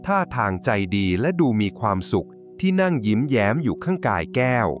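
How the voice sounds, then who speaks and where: A man narrating a folk tale from a script in a dramatic, suspenseful, expressive way. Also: mid-range voice, a little fast. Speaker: storyteller. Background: music.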